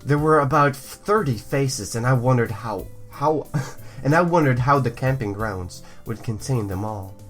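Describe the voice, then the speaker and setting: A man's voice: mid-range voice, animated, unhurried, a bit bright, soft background music. A man from the United States hosting a gaming video, moving between reading narration aloud and jokey, goofy commentary. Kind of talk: let's play commentary and narration.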